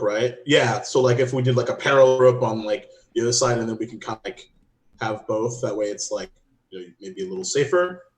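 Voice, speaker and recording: A man's voice: fairly low pitch, roomy sound. A man playing a tabletop game, talking in a rambling, searching way as he works out a plan. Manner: rambling, full of fillers and restarts. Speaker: player. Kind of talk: casual tabletop game chat.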